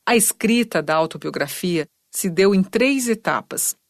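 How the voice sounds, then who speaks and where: A woman narrating an educational documentary in a measured, scripted read, also putting questions to the interviewee. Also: mid-range voice, expressive, dry recording, clean studio sound. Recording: music bed underneath.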